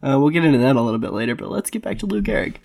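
A man's voice: medium pitch, sing-song, rapid-fire, vocal fry, a little mellow. A man co-hosting a podcast in casual chat, rambling with frequent restarts and filler words. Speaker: podcast co-host.

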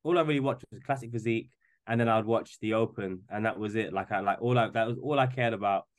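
A man's voice: lowish voice, fast. A man in his twenties in casual podcast chat, rambling and often stumbling over repeated words as he starts a thought. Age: 20s. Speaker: podcast guest.

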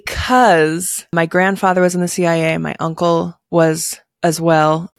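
A woman's voice: lowish voice, flat delivery, a little slow, vocal fry, no echo, clean studio sound. A woman co-hosting a podcast, chatting casually and informally with plenty of slang. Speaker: podcast co-host. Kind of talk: casual chat about a spy case.

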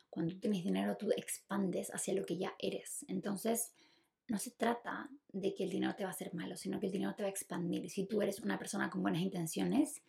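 A woman's voice: mid-range voice, vocal fry, darkish tone. A woman with a Chilean accent hosting a podcast alone, talking casually and reflectively, confiding and giving advice straight to the listener. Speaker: podcast host.

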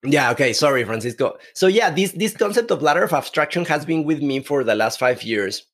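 A man from Mexico with a Mexican accent, a podcast guest who talks haltingly with many restarts. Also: on the high side, animated, fairly bright tone. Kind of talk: conversational interview.

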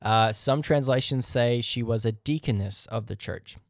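A man's voice: medium pitch, moderate pace, no echo. Earnest man teaching scripture, dry sound. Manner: earnest, methodical, reads verses aloud; rhetorical questions. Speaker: podcast host.

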